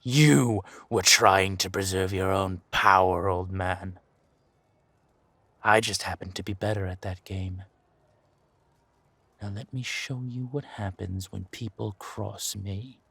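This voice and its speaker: A man as a slow, husky, menacing villain usurper. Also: deep voice, fairly even intonation, a bit bright, no room sound, full character voice. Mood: possessive rage. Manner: menacing, taunting, deliberate drawn-out delivery. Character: ruthless, mocking villain usurper, a deadly warrior lord. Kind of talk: dramatic villain roleplay audio.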